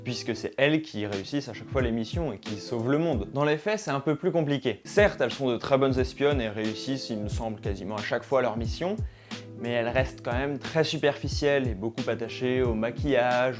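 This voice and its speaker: A young man hosting a conversational video essay, speaking informally and straight to his viewers. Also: mid-range voice. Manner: informal, addresses viewers directly.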